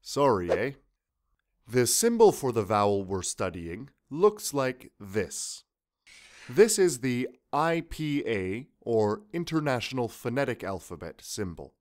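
A young Canadian man with a Canadian accent teaching pronunciation in a scripted lesson, carefully enunciating and repeating each practice word twice. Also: medium pitch.

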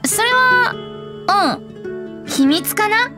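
A woman's voice: very high-pitched, animated, slow pace, over loud music, full character voice. A woman voicing a playful, breezy young male bard in an anime game, with airy, teasing, polite boyish speech.

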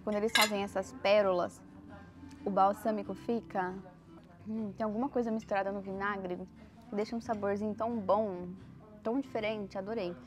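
A young woman with a Brazilian Portuguese accent, chatting to camera as a travel vlogger while tasting food in a restaurant, on a lapel mic. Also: mid-range voice. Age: young adult. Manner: chatty, tasting aloud with murmurs. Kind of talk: casual food vlog to camera. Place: restaurant terrace, indoors.

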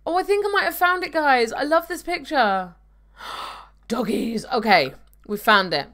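A woman's voice: high-pitched, lively intonation, unhurried, fairly bright tone. A young woman streaming a game from home, chatting casually and reading out viewer comments into a desk microphone. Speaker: gaming streamer. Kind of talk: casual live-stream chat while playing. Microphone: desk microphone on a webcam stream.

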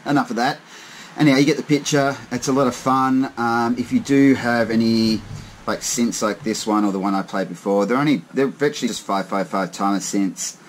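A man's voice: mid-range voice, a little fast, a little hiss or hum. A man in a casual, laid-back hands-on demo, speaking informally and a bit hesitantly as a hobbyist maker. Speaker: hobbyist maker demonstrating homemade synths. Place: indoors at a wooden tabletop.

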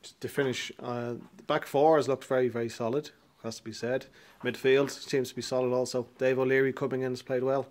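A man in a club TV interview, his voice sitting at a middle pitch. He puts polite, measured questions into a handheld mic, now and then restarting a phrase. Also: level tone, darkish tone. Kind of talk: post-match interview questions.

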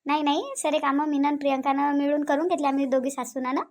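A fussy older village mother-in-law voiced by a woman keeps a cartoon household moving, with a Vidarbha Marathi accent. Her voice sits very high, and she hurries and welcomes guests in the same breath. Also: steady tone, fast, a little mellow, lightly acted. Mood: fussy hosting. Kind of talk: cartoon voice acting.

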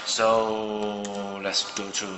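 Man in his thirties, chatty home reviewer who thinks aloud; echoey room, clear music underneath. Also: lowish voice, completely flat delivery, extremely slow. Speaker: gadget reviewer. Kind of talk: casual product review. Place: indoors at a home desk. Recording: roomy with long reverb, music behind.

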